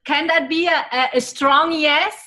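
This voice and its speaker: Slow bright-voiced woman hosting a class. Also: high voice, fairly even intonation. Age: middle-aged. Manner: slow and coaxing, prompting the class to answer. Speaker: event host. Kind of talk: addressing a class of students.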